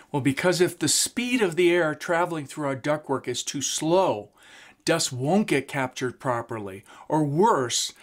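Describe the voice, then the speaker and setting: A man in his fifties, a woodworking instructor, giving a steady scripted explanation in a small home workshop. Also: a little high. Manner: steady, explanatory, gestures while talking.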